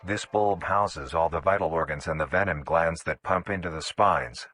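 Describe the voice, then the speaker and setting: A man with a very low voice narrates a scripted horror nature documentary. His delivery is measured and instructional, and music plays clearly behind him. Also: a bit bright. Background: background music.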